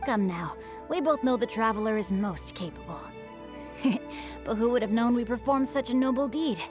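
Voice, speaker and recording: An actress playing a gracious, high-minded guard in a video game, with lofty, formal phrasing. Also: female voice, normal speed, over music, lightly acted. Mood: gracious, amused. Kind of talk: video game story dialogue.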